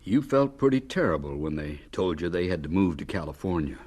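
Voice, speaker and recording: A man playing an attentive, sympathetic interviewer in a scripted radio drama, with a husky voice. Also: sits low, animated, brisk, darkish tone. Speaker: interviewer narrator.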